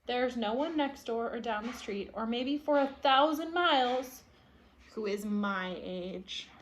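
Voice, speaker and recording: A woman's voice: on the high side, measured pace, close to an everyday voice. A woman reading the part of a stubborn, indignant young boy from a picture book, with emphatic delivery. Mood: glum. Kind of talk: picture-book read-aloud.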